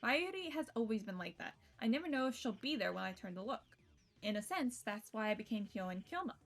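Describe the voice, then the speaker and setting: A woman streamer reading a visual novel aloud and adding casual, playful, expressive commentary. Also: on the high side, a little fast. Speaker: game streamer.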